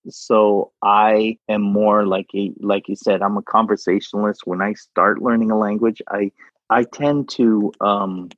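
Casual male interview guest, low and husky, rambling delivery, dry lo-fi audio. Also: no echo. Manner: rambling, repeats words, laughs often. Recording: dull lo-fi sound.

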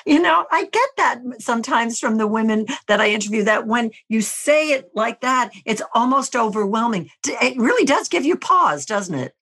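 Woman host with lively, rising pitch. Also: moderate pace, few pauses. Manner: polished, inviting questioner. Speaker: interview show host. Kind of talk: scripted introduction and interview.

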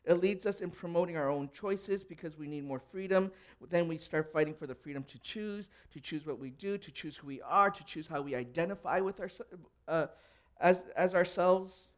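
A man's voice: on the high side, normal speed, hardly any pauses. A young adult man, a pastor whose first language was Cantonese, preaching a conversational sermon and putting questions to the congregation. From grew up in an English-speaking country.

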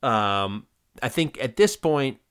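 A man's voice: medium pitch, expressive, slow pace, a bit bright, clean tone. A man co-hosting a podcast, retelling sitcom scenes in a rambling, casual way with lots of fillers. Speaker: podcast co-host recapping a sitcom episode. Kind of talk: casual chat.

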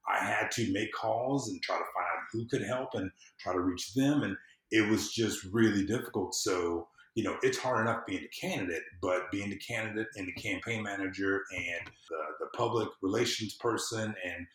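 A man from the US South talking conversationally as an interview guest, in an informal, earnest, halting way with frequent restarts. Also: on the low side, a bit bright, reverberant, rough recording. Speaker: podcast guest, civic consultant and former candidate. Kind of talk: conversational interview, personal storytelling.

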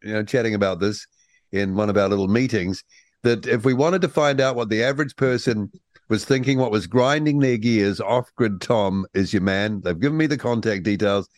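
A man's voice: fairly low pitch, moderate pace, no echo. A man hosting a radio talk show, jokey and playful, who acts out other people's voices when he tells a story. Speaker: radio host.

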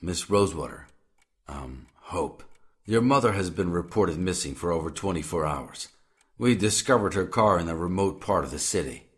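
A very deep-voiced police detective in a radio drama. He is sombre and formal, picking his words with hesitant care. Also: lively intonation, moderate pace. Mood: formal, grave. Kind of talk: audio drama acting.

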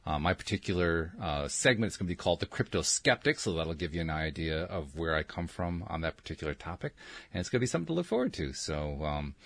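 A man's voice: deep voice, sing-song, quick pace, a bit bright, no echo. A man hosting a talk show, chatting casually with many fillers and restarts, laughing easily as he introduces a guest. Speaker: show host. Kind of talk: casual interview chat.

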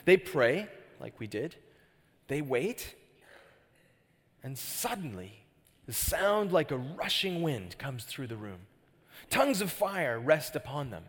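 A man's voice: on the high side, big pitch swings, takes it slowly, long pauses, breathy edge. A middle-aged Canadian man preaching a sermon as pastor, chatty and joking, often asking the crowd questions. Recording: hall reverb.

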